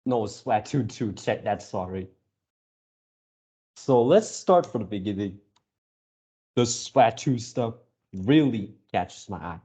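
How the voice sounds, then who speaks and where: A man in his early twenties talking casually to viewers as a channel host, in a halting way, often repeating and rephrasing himself. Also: on the low side, animated, dark tone, breathy edge. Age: early 20s. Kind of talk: casual reaction video talk to viewers.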